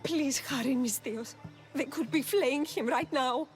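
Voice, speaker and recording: A woman's voice: sits high, a little slow, faint music behind. An actress playing a distraught, injured mother in a video game, pleading in an anguished manner. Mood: desperate pleading. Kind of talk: video game cutscene acting.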